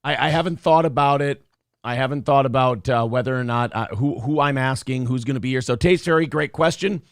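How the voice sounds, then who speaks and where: Casting note: male talk-show host, hoarse and raspy, mid-pitched, rambling and profane, dry close-mic studio sound. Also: brisk, dry recording. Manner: rambling, restarts, swears freely. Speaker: radio show host reading live chat. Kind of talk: casual chat.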